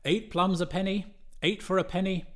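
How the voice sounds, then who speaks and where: A man's voice: high voice, steady tone, normal speed, a bit bright, pitched above the performer's own voice. A street hawker in an audiobook, acted by a male reader. He calls out his cheap wares in a raised, projected voice. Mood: calling out.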